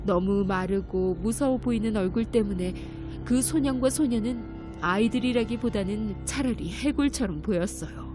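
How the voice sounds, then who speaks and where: A woman narrating a children's fairy tale in a gentle, clear storytelling manner. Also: mid-range voice, normal speed. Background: music.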